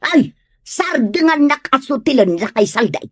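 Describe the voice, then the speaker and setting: A woman playing a poor, lonely, plaintive wife mocked for her looks in an Ilocano comedy drama, exclaiming in drawn-out phrases. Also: sits high, big pitch swings, breathy edge, no room sound, studio quality, heavily acted. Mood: defensive, puzzled.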